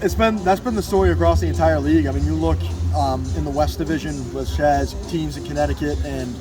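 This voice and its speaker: Higher-pitched enthusiastic young man, music behind. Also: a little fast. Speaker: PA announcer and radio producer for a baseball team, interview guest. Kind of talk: casual sports interview. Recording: outdoor recording with music behind.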